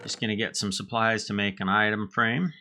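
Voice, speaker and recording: Bright-voiced man hosting a game playthrough. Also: lowish voice, a little fast. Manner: friendly, relaxed narrating. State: slight head cold. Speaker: let's play host. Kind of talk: gameplay commentary.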